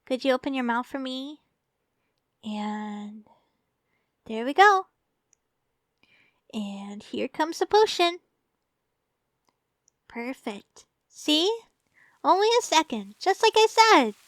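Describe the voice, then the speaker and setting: Casting: actress as sweetly obsessive young female champion for ASMR roleplay; high voice, coaxing and teasing, close dry sound. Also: animated, slow, long pauses, no room sound. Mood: caring, cheerful. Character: tall, doting, teasing young woman Pokemon champion, secretly obsessive.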